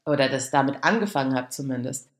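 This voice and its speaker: A woman's voice: a bass voice, fast talker. A woman from Frankfurt speaking reflectively in an interview, searching for words in long, loose sentences. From Frankfurt, Germany. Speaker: artist, interviewee. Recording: roomy echo around the voice.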